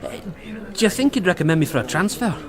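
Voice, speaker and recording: An actor playing an eager young police constable in a radio drama, hesitant and deferential. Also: male voice, high-pitched, lively intonation, fast, a crowd in the background. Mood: shy, hopeful.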